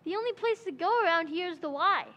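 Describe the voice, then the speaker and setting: A woman performing a whiny teenage boy in an old-time radio comedy, sulky and quick to talk back. The voice is very high, and he sounds young. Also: animated, bright, forceful tone, highs cut off, exaggerated voice.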